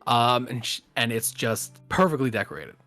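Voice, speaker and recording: A man narrating an improvised tabletop game as game master, in a halting, unhurried manner. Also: medium pitch, pushed and bright.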